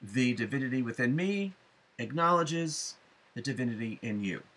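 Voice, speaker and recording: A middle-aged man giving a spiritual forecast to viewers, speaking deliberately with emphatic phrasing and frequent pauses. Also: medium pitch, animated, a bit bright. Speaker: astrology forecaster and psychic medium. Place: small room at home, indoors.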